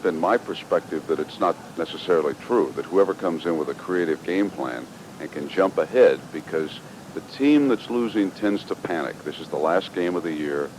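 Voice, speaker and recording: Deep-voiced male sports commentator, noisy radio. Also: lively intonation, normal speed, darkish tone. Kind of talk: conversational pregame sports interview. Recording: noisy old radio broadcast, rumble.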